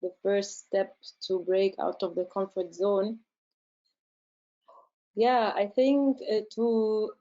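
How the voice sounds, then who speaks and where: A woman, a research centre director answering questions in a webinar, measured and explanatory, often pausing to find her words. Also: medium pitch, fairly even intonation, warm tone, dull audio. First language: Arabic. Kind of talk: webinar Q&A, advice to listeners.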